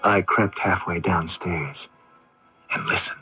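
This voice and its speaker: An actor playing a troubled, anxious young son in a radio drama, brooding and questioning, stammering under stress. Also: male voice, low-pitched, fairly even intonation, takes it slowly, sharp tone, full character voice. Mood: brooding, puzzled. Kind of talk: radio drama acting with first-person narration. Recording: muffled early-1980s AM radio broadcast, music behind.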